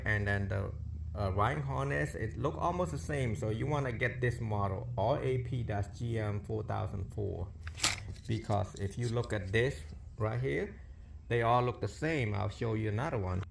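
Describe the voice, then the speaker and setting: A man gives informal, halting hands-on instructions as an installer demonstrating a repair. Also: fairly low pitch, creaky, fairly bright tone. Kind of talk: hands-on how-to narration.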